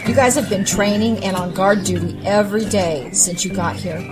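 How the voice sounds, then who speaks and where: A woman's voice: mid-range voice, fairly even intonation, normal speed, lightly acted. Actress as female gardener: no-nonsense, amiable, mid register, music bed. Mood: friendly, brisk. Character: friendly, no-nonsense woman camp gardener. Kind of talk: audio drama acting. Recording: music behind the voice.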